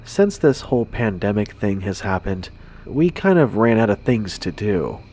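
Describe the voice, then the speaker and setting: A man tells a scary story in the first person. His voice sits fairly low, and he narrates in a conversational, scripted way. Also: very animated, moderate pace, dark tone, a little hiss or hum.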